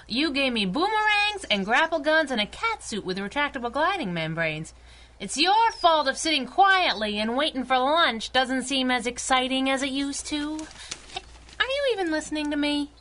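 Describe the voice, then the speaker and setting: A woman's voice: high-pitched, sing-song, moderate pace, sharp tone, exaggerated voice. A woman playing a spunky, restless young female adventurer and sidekick, brash, slangy and teasing, in old-time radio adventure style.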